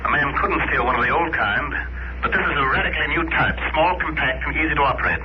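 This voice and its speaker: An actor playing a serious space service colonel, official and matter-of-fact, in 1950s radio drama style. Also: male voice, fairly high pitch, lively intonation, fast, natural voice. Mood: grave, businesslike. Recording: muffled, very noisy old radio recording.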